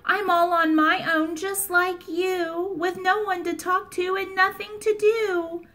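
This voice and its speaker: A woman voicing a small, shy, scared little boy in a storybook reading, speaking softly and timidly. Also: very high-pitched, steady tone, slow, pitched above the performer's own voice, heavily acted. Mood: gentle. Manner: soft, timid.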